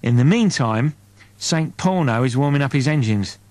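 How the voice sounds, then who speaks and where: A man's voice: mid-range voice, animated, moderate pace, a little mellow, no room sound, close to an everyday voice. Narrator doing a fed up spaceship crewman, bitter and sarcastic, crude, dry audiobook sound. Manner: scathing, sardonic.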